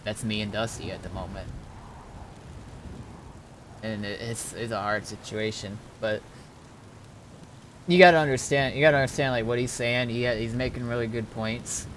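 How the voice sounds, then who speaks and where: A man talking casually on a game stream, thinking things through aloud in a halting, deliberating way. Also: lowish voice, steady tone, a bit bright, slight background noise. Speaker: streamer and roleplay gamer.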